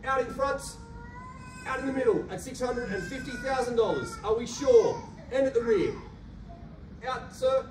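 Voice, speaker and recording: A man's voice: very high-pitched, lively intonation. Australian auctioneer man calling bids. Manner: rhythmic rapid-fire bid calling, cajoling buyers. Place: carpeted function room indoors. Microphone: handheld mic, filmed by a far camera. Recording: roomy echo from a distant camera, faint hum.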